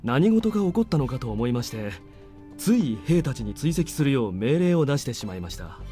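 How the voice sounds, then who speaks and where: An actor playing a polite, guarded military major in a visual novel, formal and composed. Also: male voice, medium pitch, big pitch swings, rapid-fire, mellow, close to an everyday voice. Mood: composed, courteous. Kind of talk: Japanese visual novel voice acting. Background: game music.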